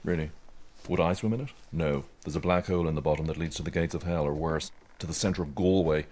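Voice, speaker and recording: A man's voice: very deep voice, quick pace, darkish tone. A man of about fifty with an Irish accent acting a grumpy, sardonic retreat manager in a radio drama, dry and mock-grandiose. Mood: bossy, deadpan. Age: middle-aged.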